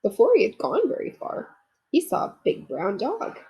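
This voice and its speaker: Husky, animated woman reading a storybook. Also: fairly high pitch, moderate pace, muffled recording. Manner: expressive, addresses young listeners. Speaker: storybook reader. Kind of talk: children's read-aloud narration with asides.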